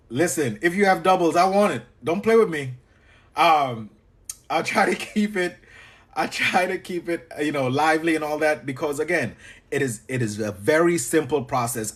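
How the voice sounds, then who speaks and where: A man with a Trinidadian accent, a business coach teaching on a live stream and chatting back to viewers with quick repeated acknowledgements. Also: fairly high pitch, fairly bright tone. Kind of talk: live-stream teaching while answering chat.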